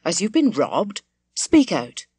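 A woman voicing a blunt, steady male innkeeper in a storybook, conciliatory but firm, with rustic phrasing. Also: fairly low pitch, slow pace, breathy edge, no room sound, full character voice. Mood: firm, commanding.

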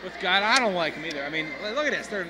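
A man's voice: sits high, animated, very fast, low-quality recording. A man doing play-by-play and banter as a wrestling commentator. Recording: loud music and crowd behind. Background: crowd and music.

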